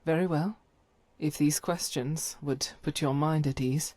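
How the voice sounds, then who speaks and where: A woman playing a devout, stern holy warrior in a video game, speaking in solemn, formal, measured phrases. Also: sits low, fairly even intonation, mellow, no echo. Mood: reflective, earnest. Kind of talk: scripted video game character dialogue.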